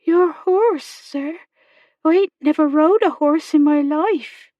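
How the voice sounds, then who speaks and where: A timid young servant girl from a storybook, acted out by a woman reader, pitched well up high. She speaks in a hesitant, rustic, ungrammatical way, meek and unsure of herself. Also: slow, mellow, no room sound, lo-fi sound. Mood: startled, timid. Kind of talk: audiobook character dialogue.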